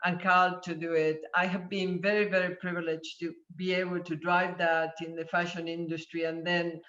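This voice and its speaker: Brief: Argentinian woman panelist in her fifties, animated storyteller, dull, echoey audio. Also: fairly low pitch, normal speed. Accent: Argentinian Spanish. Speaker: panelist, corporate sustainability executive. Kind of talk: panel discussion answer. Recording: echoey, muffled.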